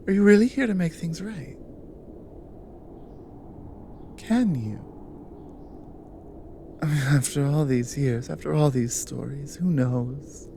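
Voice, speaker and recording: A woman playing an exiled cougar guardian in an intimate ASMR audio drama, lonely and wary yet flirtatious, speaking haltingly in murmurs and whispers. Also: low voice, dark tone, slight background noise. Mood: cautious hope. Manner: slow, halting, breathy murmurs dropping into whispers.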